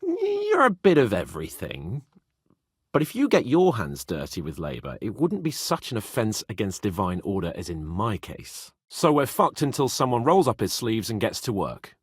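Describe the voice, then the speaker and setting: A haughty young British nobleman for a medieval video game. His delivery swings widely in pitch, sarcastic and exasperated, with a slightly husky edge and a dry, close sound. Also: huge pitch swings, normal speed, no echo, heavily acted. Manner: pompous, sardonic, exasperated.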